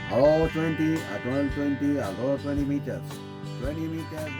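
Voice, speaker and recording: A man's voice: fairly high pitch, moderate pace, over loud music. A man from Spain with a Spanish accent making amateur radio contacts, repeating his words and callsigns.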